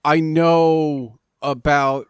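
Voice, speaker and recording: Man hosting a podcast, rambling, halting, fairly high voice, dry sound. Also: fairly even intonation, clean tone, no echo. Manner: rambling, halting, many restarts and fillers. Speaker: podcast host. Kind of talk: casual interview conversation.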